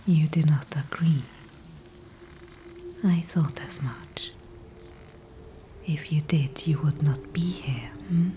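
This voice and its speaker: An ancient, calm dryad, whispered by a woman in an ASMR roleplay, her voice low, hushed and unhurried. She speaks in a dark, mellow whisper with formal, old-fashioned phrasing. Faint ambience sits behind her. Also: slight background noise. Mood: calm, knowing. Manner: soft unvoiced whisper throughout. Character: ancient female tree spirit, calm and wise.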